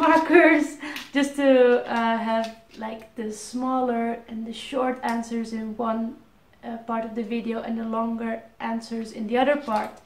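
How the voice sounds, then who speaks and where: A woman's voice: a little high, reverberant, slight background noise. A Dutch-accented woman in her twenties, reading out viewer questions and answering them casually with ums and restarts. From Netherlands. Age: 20s. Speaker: airline pilot vlogger. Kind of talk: casual Q&A vlog.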